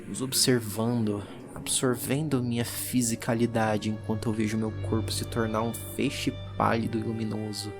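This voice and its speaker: Horror audio drama: man as haunted, exhausted expedition diarist, Brazilian accent, grave and measured, mid-range voice over music. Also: medium pitch, creaky. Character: weary, haunted 1850s occult expedition diarist. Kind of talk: dramatic reading of a horror journal.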